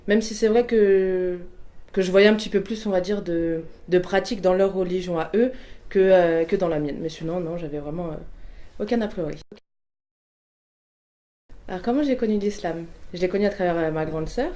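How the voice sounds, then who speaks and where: A woman's voice: on the low side, normal speed, warm tone. A woman in her twenties giving a personal testimony, hesitant, with many fillers and restarts. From Portuguese family background. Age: 20s. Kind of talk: personal testimony, answering questions.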